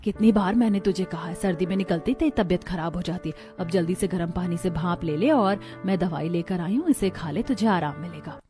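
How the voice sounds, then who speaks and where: A woman's voice: on the low side, a little fast, hardly any pauses, natural voice. A woman playing a fussing, caring middle-aged stepmother in a cartoon story. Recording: music bed behind the voice.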